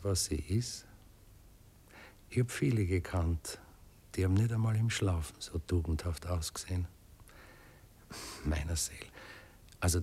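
An actor playing a dreamy, philosophizing gentleman with a husky voice and Viennese accent, musing haltingly in a 1960s radio play. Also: deep voice, exaggerated voice. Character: dreamy, philosophizing gentleman waking after a night out.

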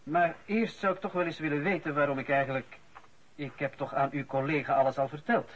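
Reserved, higher-voiced Flemish witness. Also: male voice, fairly even intonation, brisk, a bit bright, highs cut off. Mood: defensive. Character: reserved, slightly impatient male witness. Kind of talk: naturalistic radio drama acting.

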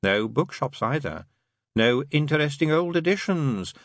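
A man's voice: fairly high pitch, expressive, unhurried, no room sound, studio quality, pitched above the performer's own voice. A man voicing a glum, sardonic demon who needles and persuades in colloquial, emphatic lists, in an audiobook story. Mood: triumphant, taunting.

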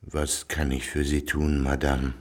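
An actor playing a shabby, weak-willed old baron in a radio drama, speaking in a hesitant, subdued, husky voice. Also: male voice, very deep voice, completely flat delivery, unhurried, a little mellow. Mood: startled, weary. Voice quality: gravelly, husky. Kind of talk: German radio drama acting.

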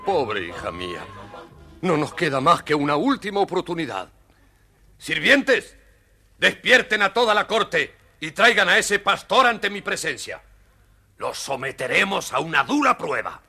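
A man's voice: fairly high pitch, very animated, measured pace, vocal fry, pushed and bright, breathy edge, soft background music, heavily acted. An actor playing an imperious, theatrical fairy-tale king in a children's audio story. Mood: dismayed. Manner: theatrical, commanding.

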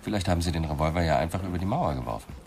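An actor playing a dry, persistent police inspector in a radio crime drama, questioning with sceptical insistence. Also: male voice, sits low, expressive, very fast, creaky, a little mellow, faint music behind. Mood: sceptical, pressing.

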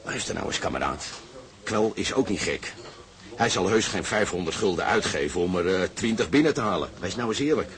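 An actor playing a fervent socialist sailor who lectures insistently and persuasively with emphatic questions, in a Dutch radio drama. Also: male voice, low-pitched, animated, a little fast.

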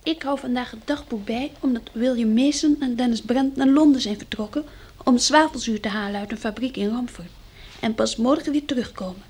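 A high, girlish voice plays an eleven-year-old farm girl in a radio drama. She is earnest and easily anxious, stumbling a little when worried. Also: a little fast, darkish tone, breathy edge. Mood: proud, careful.

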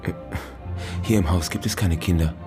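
An actor playing a reserved, uneasy male former schoolteacher in a radio drama, speaking in halting, evasive phrases. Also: low voice, music in the background, natural voice. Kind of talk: naturalistic German radio drama dialogue.

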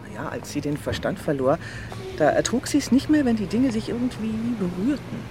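An actor playing an easygoing police officer with a hesitant, understated delivery in a German radio crime drama. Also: male voice, sits high, sing-song, normal speed, over music. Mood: reminiscing. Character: easygoing police officer telling an odd family memory.

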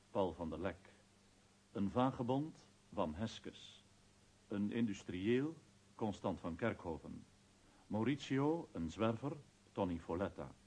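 A husky-voiced man reading a cast list as a formal radio announcer. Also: fairly low pitch, a little mellow. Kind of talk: scripted credit reading.

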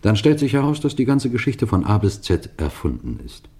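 A man playing an authoritative middle-aged police detective, recounting his case in a measured, emphatic way in a vintage radio crime drama. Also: on the low side, huge pitch swings, a little mellow, slightly rough, exaggerated voice. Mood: measured, explanatory. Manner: measured, deliberate, emphatic storytelling. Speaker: narrator and lead actor.

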